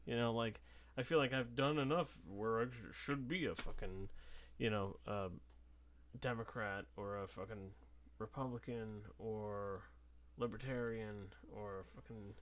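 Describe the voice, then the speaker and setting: A man's voice: fairly low pitch, fairly even intonation, a little slow, slight background noise. A man chatting casually in a rambling, self-deprecating way, swearing freely. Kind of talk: casual chat.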